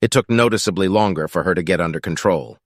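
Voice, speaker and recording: A man's voice: sits low, fast talker, dry recording, clean studio sound. A man reading scripted fiction as an audiobook narrator.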